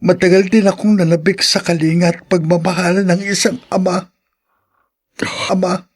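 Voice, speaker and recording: Husky, sentimental young son. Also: male voice, high voice, flat delivery, moderate pace. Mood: tearful, loving. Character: sentimental young son longing for his father. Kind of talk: Filipino radio drama acting.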